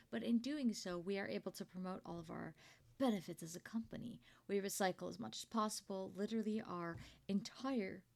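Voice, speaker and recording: Woman doing an old lady ceo for asmr roleplay, creaky voice, kindly n chatty, rambles proudly. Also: mid-range voice, close to an everyday voice. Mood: proud, reflective. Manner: gentle, chatty, doting, with throat-clearing and rambling asides. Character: elderly, kindly company founder and boss, proud and rambling. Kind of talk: ASMR roleplay monologue to the listener.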